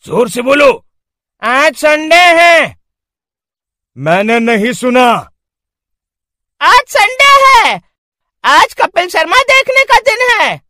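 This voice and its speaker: Man doing a very high, excited cartoon villager. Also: dry recording, studio quality, full character voice. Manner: drawn-out, chanting, exaggerated. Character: loud, excited comic village man chanting.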